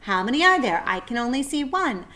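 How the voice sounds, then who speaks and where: A woman's voice: on the high side, sing-song, fairly quick, bright, forceful tone, pitched above the performer's own voice. A woman voicing an innocent, eager young male pig in a storybook reading. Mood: excited.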